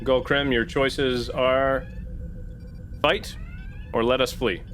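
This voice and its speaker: A man's voice: medium pitch, steady tone, slow pace, fairly bright tone, slight background noise. Man playing a cocky, dramatic starship captain. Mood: smug, commanding.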